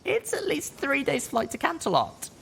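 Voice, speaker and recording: A man's voice: very high-pitched, wildly swooping pitch, moderate pace, creaky, a bit bright, slight background noise, voice pushed up, heavily acted. A man voicing an anxious, earnest and stubborn little young mare in an audiobook. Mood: reasoning. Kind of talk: audiobook character voice.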